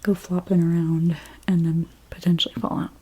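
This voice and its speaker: A woman whispering in an ASMR role play as a hairstylist, gently talking through each step in a breathy, mostly unvoiced voice. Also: fairly low pitch, flat delivery. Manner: gentle, unhurried, step-by-step personal attention. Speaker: ASMR creator playing a hairstylist.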